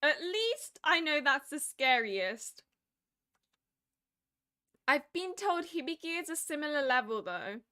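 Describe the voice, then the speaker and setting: Late-twenties female streamer, high bright voice, chatty and animated. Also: takes it slowly, pauses often. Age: 20s. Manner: chatty, laughs often, animated. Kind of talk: casual chat.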